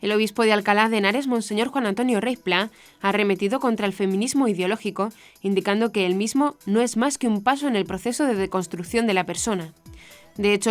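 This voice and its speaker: A woman reads scripted news. Her voice sits at an average pitch for a woman, and her delivery is formal and measured. Light music plays behind her. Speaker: news presenter. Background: background music.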